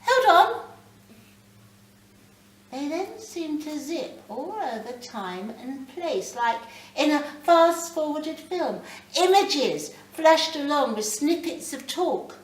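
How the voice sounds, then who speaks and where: A woman reading literary fiction aloud live, with measured, dramatic phrasing and pauses. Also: high voice, animated. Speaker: reader of a short story. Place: library reading event, indoors. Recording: roomy, distant mic, faint background noise.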